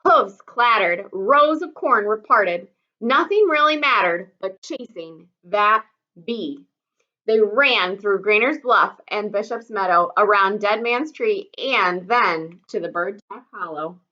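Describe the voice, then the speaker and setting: A woman's voice: mid-range voice, lively intonation, takes it slowly, pushed and bright. A woman reading a children's story aloud expressively, like a teacher, in slightly dull audio.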